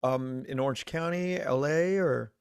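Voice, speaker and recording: A man hosting an interview show, asking questions in a measured, curious way. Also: medium pitch, slight background noise. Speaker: podcast host. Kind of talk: interview host with scripted intro. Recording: dry close mic, faint music.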